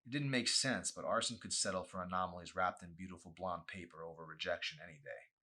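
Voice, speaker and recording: Low-voiced man reading a story. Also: fast, bright, forceful tone. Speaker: author reading own novel. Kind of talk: expressive audiobook narration.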